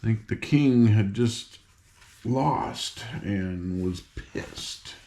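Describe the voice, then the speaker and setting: A man's voice: lowish voice, drawn-out words, mellow. An older man reads a children's story aloud in an informal, folksy way, with chatty asides and stumbles over words. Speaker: audiobook reader.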